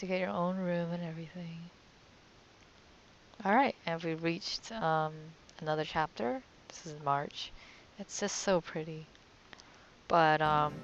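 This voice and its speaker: A woman's voice: low-pitched, a bit bright. A woman giving casual let's-play commentary as the host of a gaming video. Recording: slightly dull, lo-fi voice track. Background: game music.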